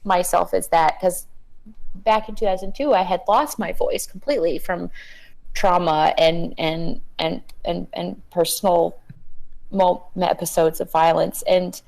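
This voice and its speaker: A woman in her thirties, a guest on a panel chat, talking earnestly with halting starts and repeated words. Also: on the low side, creaky, a little mellow, poor audio.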